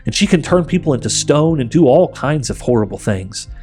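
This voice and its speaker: A man voicing a happy, excited little girl in a storybook, eager and earnest in manner. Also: medium pitch, fairly quick, soft background music, pitched above the performer's own voice, close to an everyday voice. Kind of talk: audiobook character voice.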